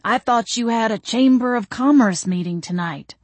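An elderly gentleman ghost from an audiobook novel, acted out by a woman. He is courtly and amiable, with slow, genteel phrasing and a dry delivery. The sound is close, with no room on it. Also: a little high, dry recording, pitched above the performer's own voice, lightly acted. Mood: gently teasing.

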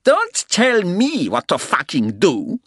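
A man's voice: high-pitched, big pitch swings, moderate pace, sharp tone, no echo, studio quality, pitched above the performer's own voice, heavily acted. A man voicing an arrogant, violent German man in his sixties, with a harsh, guttural German accent and a contemptuous, snarling delivery. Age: 60s.

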